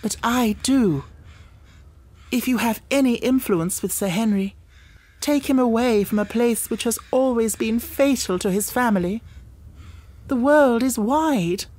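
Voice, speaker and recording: A man voicing an anxious, secretive young Victorian gentlewoman in an audiobook, speaking in an earnest, pleading, formal manner. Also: extremely high pitch, measured pace, voice pushed up. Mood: urgent, fearful. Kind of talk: audiobook character dialogue.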